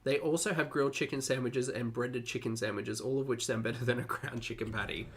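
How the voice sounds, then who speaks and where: A man's voice: medium pitch, fairly even intonation, fairly quick, a little hiss or hum. A man hosting a reaction stream, chatting casually with viewers in a sardonic, sweary way, sounding congested and short of breath.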